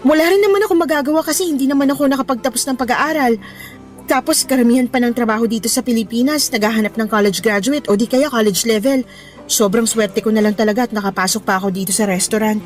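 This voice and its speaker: Female actor for headstrong, ambitious young working woman in radio romance; higher voice, frank and exasperated, music underneath. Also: fast, close to an everyday voice. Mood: weary, frustrated. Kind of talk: radio romance drama acting. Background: background music.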